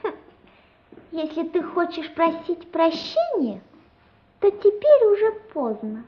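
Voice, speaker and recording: A woman's voice: very high-pitched, wildly swooping pitch, takes it slowly, slight background noise, heavily acted. A woman playing a bossy, tattling little sister in a radio play, haughty and scolding. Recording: muffled radio play recording.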